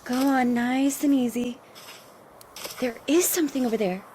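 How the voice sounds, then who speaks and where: Actress as young refugee woman in an audio drama, high earnest voice, protective and devout, faint ambience behind. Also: moderate pace, mellow, slight background noise, close to an everyday voice. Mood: calm, protective. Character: faithful young desert refugee woman, protective, gentle but frightened. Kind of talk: cinematic audio drama acting.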